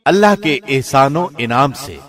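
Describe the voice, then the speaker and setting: A man's voice: medium pitch, lively intonation, soft background music. A man reading a scripture translation from a script, in the solemn style of a radio presenter.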